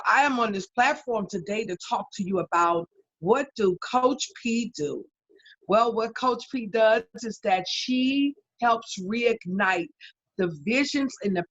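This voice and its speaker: A woman's voice: medium pitch, a bit bright, no echo, lo-fi sound. A woman pastor and life coach introducing herself as a guest, speaking in an emphatic, preacherly way with repeated lists. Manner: preacherly and emphatic, with list-like repetition. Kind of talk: guest self-introduction on an online talk show.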